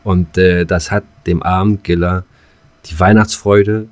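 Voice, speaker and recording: A man's voice: deep voice, steady tone, unhurried. A man co-hosting a casual comedy podcast, jokey and mock-grandiose, often stopping to search for words. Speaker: podcast co-host.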